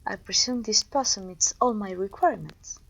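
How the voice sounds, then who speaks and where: A woman playing a haughty, menacing evil sorceress queen in an ASMR roleplay, pompous and commanding, with a breathy voice. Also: medium pitch, fairly bright tone, low-quality recording. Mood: threatening. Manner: pompous, commanding, deliberate condescension. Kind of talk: ASMR roleplay voice acting addressed to listener.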